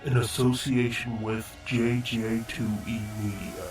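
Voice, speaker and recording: A man's voice: medium pitch, little pitch movement, distant mic. Ominous male trailer narrator over music. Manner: ominous, measured. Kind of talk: scripted dramatic trailer narration. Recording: roomy narration set back from the mic over music.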